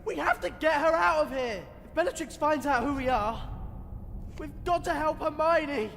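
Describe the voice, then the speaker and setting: A man's voice: very high voice, normal speed, vocal fry, a bit bright, long reverb tail, slight background noise, heavily acted. An actor playing a loyal, distraught teenage boy wizard in a game, urgent. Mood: pleading.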